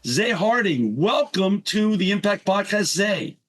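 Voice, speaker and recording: A man hosting a podcast interview, upbeat and quick, sometimes stumbling over his words. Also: high voice, sing-song. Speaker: podcast host. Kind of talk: podcast interview and read sponsor spots.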